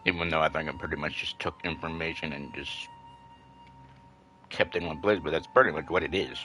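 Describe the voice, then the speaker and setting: A man's voice: rumbling low, fairly quick. A man giving casual live gameplay commentary as a streamer, in an offhand, muttering way. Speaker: game streamer. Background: game music.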